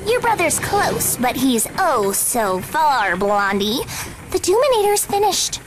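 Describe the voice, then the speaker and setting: Evil teen niece: cartoon game villainess, very high voice, sardonic, slow drawl, music underneath. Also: female voice, sing-song, exaggerated voice, rough recording. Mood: gloating. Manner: sneering, drawn-out sarcasm. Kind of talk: cartoon video game acting.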